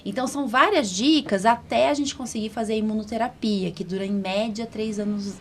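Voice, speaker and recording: A Brazilian woman with a mid-pitched voice hosts a medical podcast. She explains in a conversational, teacherly way and keeps checking that the listener follows. Faint noise sits behind her voice. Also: fairly quick. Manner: colloquial, didactic, seeks agreement with tag questions. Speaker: podcast host, allergist doctor.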